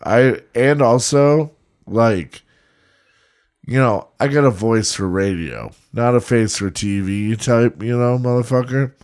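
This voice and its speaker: A man's voice: mid-range voice, slow, darkish tone. A young man co-hosting a podcast, chatting crudely with blunt, sarcastic jokes. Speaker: podcast co-host. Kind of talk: crude casual banter.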